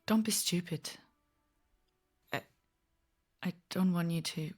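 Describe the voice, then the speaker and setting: An actress playing a guarded, wounded woman survivor in an audio drama, speaking in a blunt, weary, halting way. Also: lowish voice, a little mellow, husky, faint music behind. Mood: hurt, vulnerable. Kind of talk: naturalistic audio drama acting.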